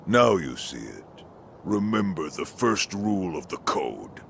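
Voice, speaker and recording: An actor playing a scheming old shinobi father in a video game, imperious and deliberate, with a gravelly voice. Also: very animated, slight background noise, full character voice. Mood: commanding. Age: older. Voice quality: very deep gravelly voice. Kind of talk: video game cutscene acting. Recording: loud music underneath.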